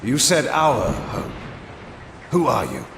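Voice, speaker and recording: An actor voicing a proud, sardonic male vampire warlord in a video game, cold and imperious, with a theatrical, deliberate delivery. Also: medium pitch, huge pitch swings, reverberant, noisy background, full character voice. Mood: suspicious. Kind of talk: scripted video game cutscene acting.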